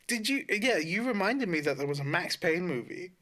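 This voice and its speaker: A man's voice: high-pitched, lively intonation, moderate pace, bright, forceful tone. A man co-hosting a podcast in casual banter, blunt and sardonic, with plenty of swearing. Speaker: podcast co-host. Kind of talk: casual banter about games and films.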